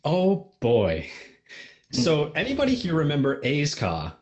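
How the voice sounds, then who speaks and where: A man's voice: fairly high pitch, huge pitch swings, a little slow. A man of about twenty chatting casually as an interview guest, in a giggly, self-deprecating and slightly nervous way. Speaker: interview guest, amateur fan fiction reader. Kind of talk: casual chat.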